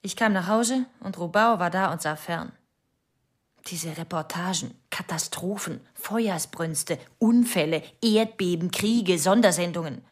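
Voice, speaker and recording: An actress playing a troubled, haunted wife who confesses to a police inspector in intense, fragmented radio drama narration. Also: female voice, fairly low pitch, a little slow. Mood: agitated, bitter.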